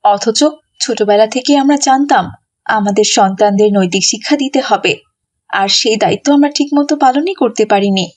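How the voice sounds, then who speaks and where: Woman acting as a worried, high-voiced cartoon mother. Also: normal speed, lightly acted. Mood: remorseful. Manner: earnest, moralising. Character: worried, remorseful, pious mother of a young girl.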